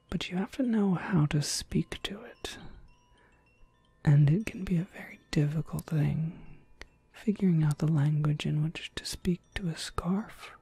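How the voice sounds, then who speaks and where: A woman playing a gentle, courteous witch shopkeeper in an ASMR roleplay, speaking in an unhurried, breathy whisper. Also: low voice, no echo, heavily acted. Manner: unhurried, polite, softly explanatory. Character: gentle witch shopkeeper, courteous, quietly proud of her craft.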